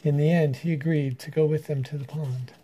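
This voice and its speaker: A man reading a children's story aloud in an animated, dramatic way. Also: a little high, a little fast, husky. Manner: animated, dramatic, with character voices. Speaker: storyteller reading a picture book. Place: room in a house.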